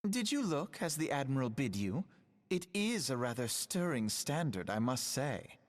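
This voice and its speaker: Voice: mid-range voice, sing-song, normal speed, lightly acted. In a long reverberant space, an actor plays a refined, sardonic game character. The intonation swings widely and theatrically, with polite, knowing wryness. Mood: polite, wry. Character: urbane, wry onlooker commenting slyly on the proceedings. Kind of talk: video game voice acting.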